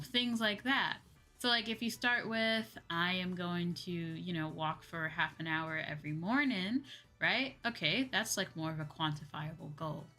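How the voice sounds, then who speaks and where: Low-voiced woman streamer chatting over music. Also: bright, forceful tone. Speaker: livestream host. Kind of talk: casual livestream chat. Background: background music.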